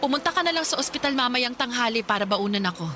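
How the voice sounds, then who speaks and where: A woman's voice: fairly high pitch, expressive, quick pace, natural voice. An actress playing a caring, harried mother who fusses and reassures in a radio drama. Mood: reassuring, harried. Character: caring, harried mother of a poor fishing family.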